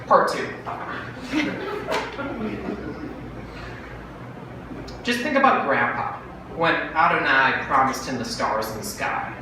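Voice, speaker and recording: Man reading as cajoling brother, very echoey room. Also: fairly high pitch. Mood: pushy, excited. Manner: salesman-like, cajoling, animated. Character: Jacob, fast-talking wheedling brother pitching a bargain. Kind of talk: dramatic monologue read from a short story. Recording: very echoey room, distant mic, noisy.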